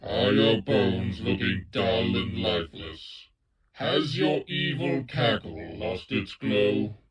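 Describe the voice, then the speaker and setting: Deep, slow sinister announcer over crowd noise. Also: male voice. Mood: ominous. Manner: ominous, drawn-out, theatrical. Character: sinister dark announcer addressing evil minions. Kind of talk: villainous parody radio advert.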